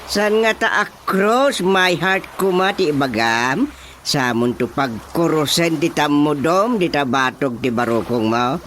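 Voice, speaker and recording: A man's voice: a little high, a bit bright, a little hiss or hum. An actor with an Ilocano Filipino accent playing an exasperated, exclaiming village chairman in a radio comedy drama. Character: excitable village chairman, exasperated comic official.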